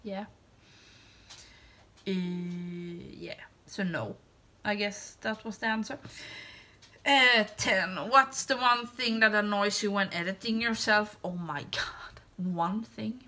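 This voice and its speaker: A woman with a Swedish accent, Swedish being her first language, talking casually to camera. Her light, bright voice moves at an unhurried pace. She rambles and hesitates, often trailing off into a soft hush. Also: mid-range voice, fairly even intonation. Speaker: beauty YouTuber. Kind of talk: casual tag video chat.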